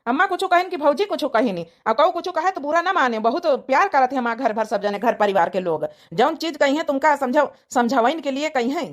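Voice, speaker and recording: A woman's voice: high-pitched, animated, brisk, hardly any pauses. A woman voicing an affectionate, easygoing young husband in an Awadhi-accented comedy cartoon.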